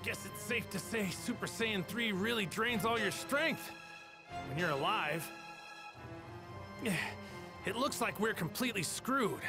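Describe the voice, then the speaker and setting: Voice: medium pitch, lively intonation, a little slow, pauses often. An actor playing a good-natured, easygoing male martial arts hero in an anime video game, plainspoken and boyish. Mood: dejected. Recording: music under the voice.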